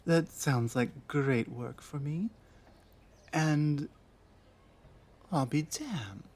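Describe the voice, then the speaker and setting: Casting: actress as lost orc warrior woman for ASMR roleplay; earnest, wary, unhurried, pausing often. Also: deep voice, darkish tone, breathy edge, heavily acted. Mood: cautious wonder. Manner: halting, earnest, deliberate phrasing with pauses. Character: lost, guarded young female orc warrior, earnest and lonely. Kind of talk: ASMR roleplay audio drama.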